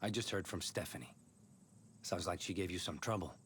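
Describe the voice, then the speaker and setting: An actor playing a calm, cunning, laid-back mercenary commander in a video game. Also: male voice, low voice, brisk, a little hiss or hum. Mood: calm, sly. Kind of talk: video game cutscene acting.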